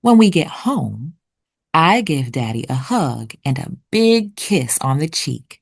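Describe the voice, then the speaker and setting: A woman reading a children's picture book aloud expressively, with deliberate storytelling pauses. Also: sits low, big pitch swings, creaky, slightly rough. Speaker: children's read-aloud narrator.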